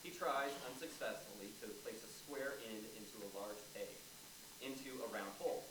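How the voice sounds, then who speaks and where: A man's voice: lowish voice, normal speed. A man reading stage directions aloud as scripted narration for a play. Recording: echoey noisy room, far from mic.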